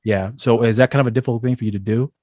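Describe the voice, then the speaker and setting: A man's voice: medium pitch, steady tone, racing, a little mellow, dry recording, studio quality. A man hosting an interview show, asking long, rambling questions with restarts. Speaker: podcast host.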